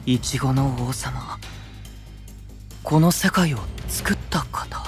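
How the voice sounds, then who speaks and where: An actor playing a gentle, caring young male knight, soft and earnest, in a fantasy voice drama. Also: mid-range voice, slow, faint music behind, natural voice. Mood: soft, thoughtful.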